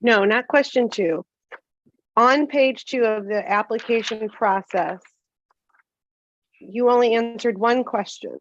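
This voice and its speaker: A woman's voice: a little high, slow, pauses often. A woman on a board asking a candidate direct, pointed questions. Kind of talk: questioning a candidate at a public meeting. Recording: dry, muffled sound.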